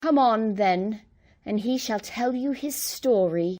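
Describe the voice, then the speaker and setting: A woman's voice: fairly high pitch, slow pace, a little mellow. A woman playing an ill-tempered, bossy queen in a storybook radio play, commanding and haughty. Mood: brisk, dismissive. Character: imperious, ill-tempered Queen, quick to order executions. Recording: music under the voice.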